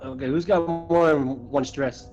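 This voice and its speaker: A man's voice: medium pitch, moderate pace, darkish tone, muffled recording, faint music behind. A man chatting casually as a player in a tabletop roleplaying game.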